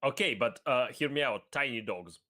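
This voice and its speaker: A man's voice: medium pitch, animated, moderate pace, bright, forceful tone. Rambling, laughing man in casual chat. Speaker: guest.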